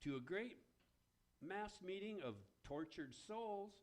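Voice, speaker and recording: A man's voice: sits high, lively intonation, takes it slowly. A man playing a florid, theatrical messenger of hell who declaims in rhyme, in an amateur radio play. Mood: grandly ominous. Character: male herald of hell, florid messenger summoning damned souls.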